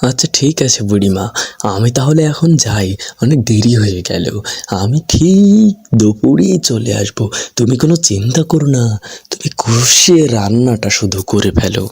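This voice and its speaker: A man voicing a caring, dutiful young village cowherd in a cartoon, with a brisk, reassuring manner. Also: medium pitch, sing-song, creaky, darkish tone, slightly rough, no echo, exaggerated voice. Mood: cheerful. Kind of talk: cartoon character acting.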